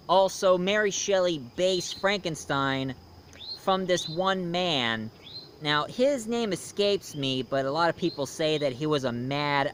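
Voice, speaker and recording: A man hosting a casual, unscripted review, talking in a halting, rambling storyteller's way. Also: a little high, fairly bright tone. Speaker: host, film and book reviewer. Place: outdoors. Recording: noisy outdoor recording with insects.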